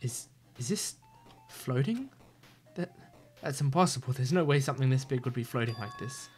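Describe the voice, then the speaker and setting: A woman's voice: very deep voice, expressive, normal speed, a little mellow, faint music behind. A woman streamer reading a video game's text aloud in a subdued way, with casual asides. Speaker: let's-play streamer.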